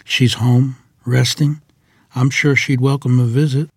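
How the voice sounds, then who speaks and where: A man's voice: medium pitch, little pitch movement, a little slow, husky, no echo, studio quality. A man playing a courteous, devout rural piano tuner in a period table read, deferential and folksy. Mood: gentle, somber. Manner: deferential, folksy, gently persuasive.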